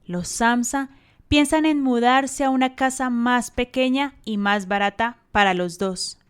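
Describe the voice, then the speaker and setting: Female narrator, high pitch, smooth clear tone, scripted radio drama storytelling. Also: fairly even intonation, measured pace.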